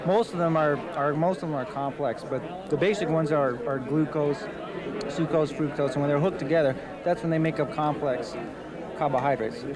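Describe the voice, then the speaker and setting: Fairly high-voiced man explaining over crowd chatter. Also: moderate pace. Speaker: interviewee at a pre-race dinner. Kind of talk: earnest explanation to camera. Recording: busy crowd chatter behind voice.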